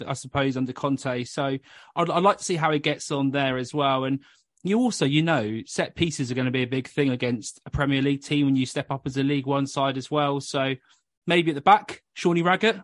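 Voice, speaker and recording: A man's voice: fairly high pitch, dry recording, clean studio sound. A man hosting a football podcast, chatting casually with blunt, pessimistic banter. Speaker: podcast host.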